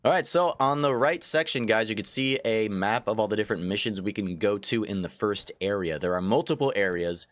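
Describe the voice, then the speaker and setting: A man's voice: on the low side, fairly quick, few pauses, a bit bright, no room sound. A man hosting a let's play, casually chatting to viewers with a slightly stuffy nose. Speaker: gaming channel host. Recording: faint game music behind.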